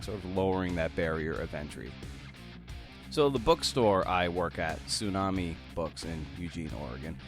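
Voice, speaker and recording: A man with a deep-set, low voice hosts a podcast. He presents in the manner of a scripted introduction and interview, with clearly audible guitar music behind him. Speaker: podcast host.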